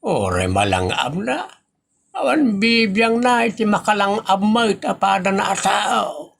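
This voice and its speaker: Indignant village husband acted by a man, grumbling, Filipino Ilocano accent, slow comic delivery. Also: high voice, sing-song, creaky, fairly bright tone, pitched above the performer's own voice, exaggerated voice. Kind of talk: comic audio drama acting.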